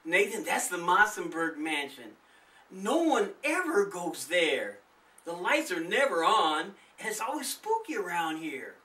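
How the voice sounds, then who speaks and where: A man's voice: sits high, big pitch swings, measured pace, pushed and bright, reverberant, far from the mic, pitched above the performer's own voice, exaggerated voice. A man voicing a nervous, reluctant boy who is wary in a spooky story. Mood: spooked.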